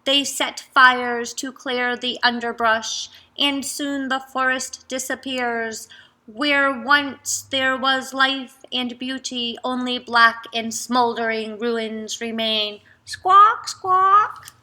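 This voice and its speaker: A woman voicing a shrill squawking toucan. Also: little pitch movement, slow, sharp tone. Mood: insistent. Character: squawking toucan, loud and insistent.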